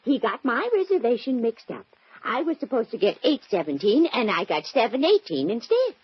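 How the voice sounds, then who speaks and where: An actress playing a meddlesome, thrill-loving older woman sleuth in a 1940s radio drama, with a husky voice and a coy, prim way of talking. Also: fairly high pitch, sing-song, moderate pace, full character voice. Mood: excited, smug. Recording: muffled vintage 1940s radio recording.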